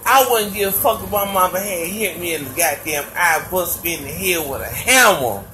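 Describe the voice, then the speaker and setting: Deep-voiced woman ranting hard, forceful, blunt and cursing. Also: animated, moderate pace, slight background noise. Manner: blunt, defiant, heavy cursing. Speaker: woman in a viral video clip. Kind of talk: blunt casual rant.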